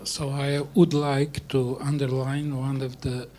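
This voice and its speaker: A man speaking as a panelist, halting and filling pauses while he makes his point. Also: mid-range voice, little pitch movement, crowd noise. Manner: halting, many fillers. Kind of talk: panel discussion remarks.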